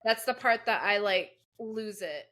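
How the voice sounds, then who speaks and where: A woman's voice: mid-range voice, expressive, bright, forceful tone. A woman in her late twenties, co-hosting a casual show recap podcast, chatty and candid. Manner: chatty, candid, quick to laugh. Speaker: podcast co-host.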